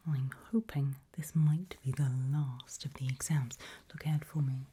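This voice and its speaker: A woman whispering in a breathy voice, playing a polite, calmly creepy medical examiner in an ASMR roleplay. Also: low-pitched, moderate pace, slight background noise. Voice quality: breathy, mostly unvoiced whisper.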